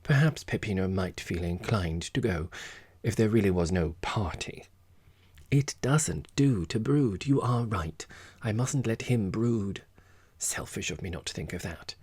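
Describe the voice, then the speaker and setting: A man plays an affected society lady from a storybook, coy and self-important. The phrasing is precious and theatrically reluctant, in a low, slightly husky register with a very dry, close sound. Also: lively intonation, measured pace, darkish tone, no room sound. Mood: feigned reluctance. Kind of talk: audiobook character dialogue.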